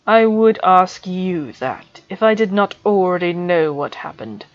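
A woman doing a stern, slow-talking professor. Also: lowish voice, expressive. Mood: cold. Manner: slow, measured and clipped. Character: stern male potions professor, cold and controlled. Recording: dull lo-fi recording.

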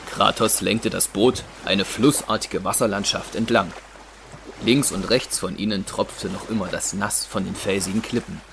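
Water ambience sits clearly behind a man with a lowish voice. He narrates a fantasy audio play from a script as its storyteller. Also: steady tone, normal speed, noisy background.

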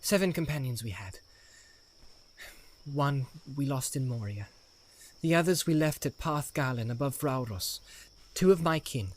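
A man doing an earnest young hobbit, gentle and formal, for a storybook reading. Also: close to an everyday voice. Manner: soft, earnest storytelling voice raised higher than the narrator.

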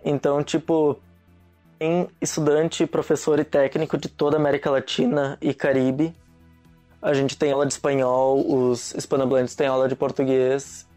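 A man's voice: medium pitch, little pitch movement, moderate pace, dark tone, faint music behind. A young man, a guest in a casual chat, telling stories in an easygoing, slangy way. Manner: easygoing storyteller, slangy, laughs often.

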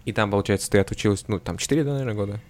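A man's voice: fairly low pitch, rapid-fire, slight background noise. A man hosting a casual podcast, reacting with slangy, repeated agreement and short questions. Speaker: podcast host.

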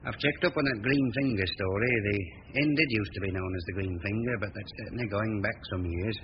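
An actor playing a blunt, dour middle-aged policeman in a 1940s radio drama, plain-spoken and gruff, on an old recording. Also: on the low side, a little fast, close to an everyday voice. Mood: matter-of-fact. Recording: dull, noisy 1940 radio recording.

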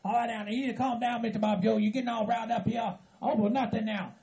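A man voicing an earnest Southern hillbilly kinsman who stammers, repeats himself and tries to calm others down. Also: very high-pitched, fast talker, vocal fry, muffled recording, slight background noise. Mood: concerned. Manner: stammering, repetitive, pleading for calm.

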